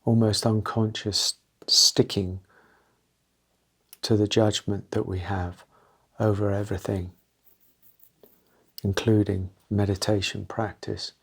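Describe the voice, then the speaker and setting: A man leading a guided meditation, talking gently and unscripted in short, halting phrases with pauses between them. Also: lowish voice, little pitch movement, gravelly, slightly rough.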